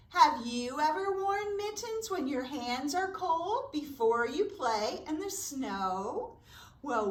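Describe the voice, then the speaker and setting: Female children's storyteller, very slow and expressive, high register, distant roomy sound. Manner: slow, deliberate, expressive delivery for young children. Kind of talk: preschool storytime with story and sing-song rhyme. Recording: roomy echo, distant microphone.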